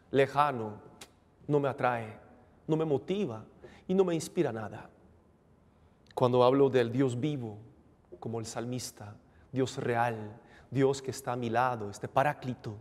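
A man in his forties, a priest, giving a calm, reflective homily at Mass from a lectern, pausing often with "eh". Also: mid-range voice. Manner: calm, reflective preaching with frequent "eh" pauses. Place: chapel, at a lectern. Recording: long reverb of a church hall.

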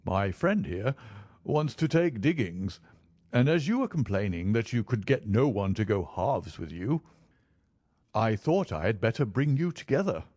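A man voicing a genial, wry gentleman with a teasing, knowing manner in audiobook dialogue. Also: on the low side, big pitch swings, unhurried, dry recording, studio quality. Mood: jovial, teasing.

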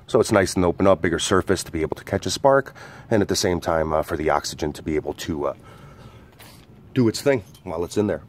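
A man's voice: low voice, fast talker, slight background noise. A man, an outdoor skills instructor, casually explaining a fire starter while demonstrating it in his backyard, with lots of "uh" and "go ahead". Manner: relaxed, filler-heavy explaining.

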